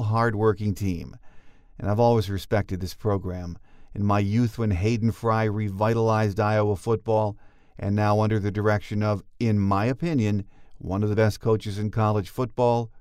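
A man's voice: fairly low pitch, fairly even intonation, moderate pace, darkish tone, clean tone, dry recording, clean studio sound. A man hosting a sports podcast, delivering scripted commentary as the show's presenter. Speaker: podcast host.

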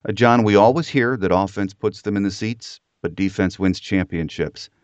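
A man's voice: on the low side, normal speed, dry recording, highs cut off. An American man hosting a sports podcast, setting up interview questions in a conversational way. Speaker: podcast host.

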